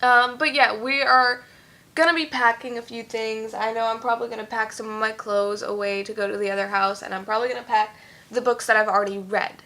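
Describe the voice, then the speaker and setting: A young woman chatting at home in a rambling vlog, full of fillers, her voice a little hoarse. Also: on the high side, fairly even intonation, a little fast, pushed and bright. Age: young adult. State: slightly hoarse, losing her voice. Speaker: YouTube singer. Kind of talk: casual vlog chat.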